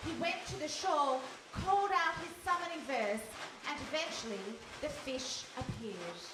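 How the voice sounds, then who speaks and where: High-voiced woman narrator in an echoey hall. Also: moderate pace. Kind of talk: scripted storytelling narration, live radio play. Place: hall, live stage performance. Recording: distant mic, echoey hall, faint noise.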